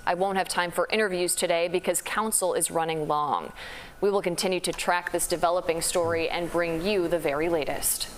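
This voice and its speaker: A woman's voice: lowish voice, a little hiss or hum. A woman with an American accent delivering a scripted live report as a news reporter.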